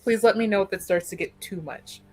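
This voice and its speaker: A woman chatting and loosely narrating as a tabletop roleplay player, casual and halting, often repeating herself. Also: medium pitch, dry recording, soft background music.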